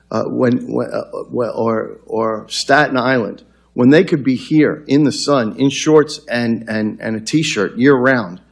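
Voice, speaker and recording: A middle-aged man with a New York City accent addresses a public forum from a podium in a large chamber, talking conversationally with frequent fillers and restarts. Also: mid-range voice. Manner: conversational, many fillers and restarts, animated hand gestures. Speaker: mayor presenting at a public forum. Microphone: podium microphone, far camera.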